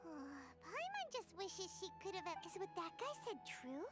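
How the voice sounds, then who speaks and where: A woman voicing a tiny, cheerful, feisty childlike sidekick in an anime game, squeaky and eager. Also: sing-song, moderate pace, over loud music. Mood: sympathetic. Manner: speaks of herself in the third person, eager.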